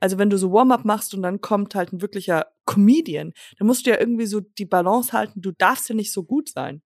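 Rambly young german woman on a podcast, mid pitch, dry close mic, keeps dropping english bits. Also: brisk, no echo. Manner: chatty, rambling, giggly, drops English phrases. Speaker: podcast co-host. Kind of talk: casual chat.

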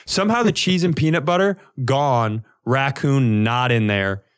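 Male podcast co-host, average pitch, casual comic storyteller, foul-mouthed and rambling, given to exaggeration. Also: measured pace, clean tone. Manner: rambling, profane, animated exaggeration.

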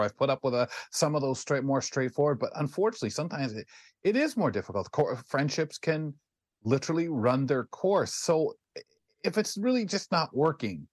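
Guy hosting a chat show, medium voice, kinda halting n roundabout, really dry sound. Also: medium pitch, no room sound. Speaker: host. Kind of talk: casual chat.

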